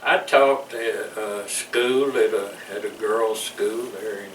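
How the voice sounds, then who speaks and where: A man's voice: lowish voice, takes it slowly, fairly bright tone. Older Southern man, folksy rambling. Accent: Southern American. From American South. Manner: rambling, folksy, chuckles between stories. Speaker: veteran interviewee. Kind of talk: recalling memories in an interview. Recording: echoey room, mic far off, clear background noise.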